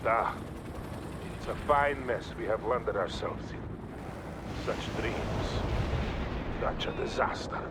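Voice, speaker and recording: Stern Russian colonel amid battle noise. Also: male voice, on the low side, takes it slowly, pauses often. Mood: weary, bitter. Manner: commanding, clipped orders. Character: stern, weary Soviet colonel commanding troops. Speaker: military commander in a game. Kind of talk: scripted video game cutscene acting. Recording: loud battlefield noise behind.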